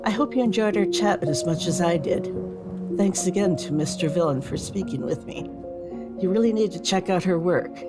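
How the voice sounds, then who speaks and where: A woman's voice: fairly low pitch, normal speed, over loud music. A woman hosting a podcast in a casual interview style. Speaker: podcast host.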